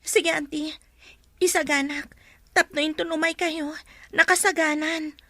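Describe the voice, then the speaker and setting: A woman's voice: high voice, fairly even intonation, unhurried, a bit bright, dry recording, studio quality. A woman playing a frightened but obedient young captive niece in a radio drama, pleading and emotive. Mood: anxious, obedient. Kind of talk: Ilocano radio drama acting.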